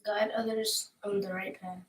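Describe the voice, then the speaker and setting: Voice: medium pitch, little pitch movement, moderate pace. A young co-host reading aloud and chatting at a family storytime. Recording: noticeable background noise.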